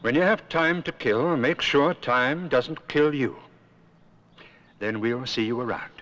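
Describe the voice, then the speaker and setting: A man's voice: medium pitch, takes it slowly, fairly bright tone, dull audio. A man voicing a wise, stern older cartoon owl who gives didactic safety warnings in a public information film. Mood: grave, advising. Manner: didactic, admonishing. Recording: faintly noisy old 1970s film soundtrack.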